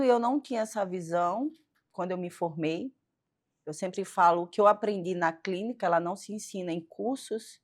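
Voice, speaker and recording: A middle-aged woman from Northeast Brazil with a strong Northeastern Brazilian accent, speaking earnestly as a physiotherapist guest in a faith-based interview, often pausing on "né". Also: on the low side. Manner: earnest, pauses with frequent "né".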